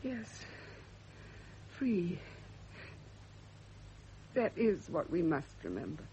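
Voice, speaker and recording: An actress playing a haunted, grieving Englishwoman in a radio drama, husky and breathy, near tears. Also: on the low side, big pitch swings, extremely slow, dark tone, a little hiss or hum, full character voice. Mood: distraught. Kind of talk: mid-century radio drama acting. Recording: dull old radio recording.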